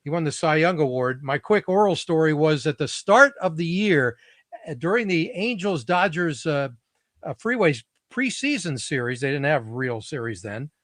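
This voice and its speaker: A middle-aged man hosting an interview, chatty and eager, quick to react and laugh. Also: fairly high pitch, expressive, fairly bright tone, no room sound, clean studio sound. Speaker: podcast host. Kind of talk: casual interview conversation.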